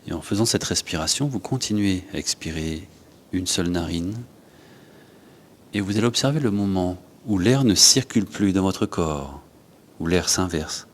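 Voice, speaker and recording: A man leads a guided yoga and breathing class, giving unhurried instructions in short phrases with pauses. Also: sits low, lively intonation, a little hiss or hum. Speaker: yoga teacher.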